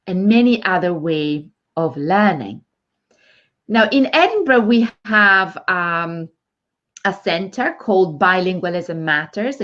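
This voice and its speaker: A woman speech therapist, interviewing a mother and explaining slowly with many fillers. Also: medium pitch, a bit bright. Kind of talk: interview chat. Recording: dull lo-fi sound.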